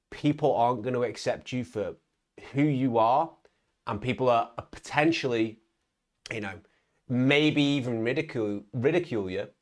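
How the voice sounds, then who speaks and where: A young man giving a casual motivational talk to his viewers, emphatic, with frequent "right?" tags and stumbling restarts. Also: mid-range voice. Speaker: vlogger on online business.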